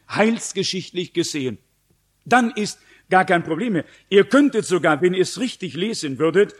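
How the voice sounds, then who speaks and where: A man preaching a sermon, reading out scripture and explaining it to his listeners in an emphatic, didactic way. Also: high-pitched. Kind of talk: sermon with read-out scripture and teaching.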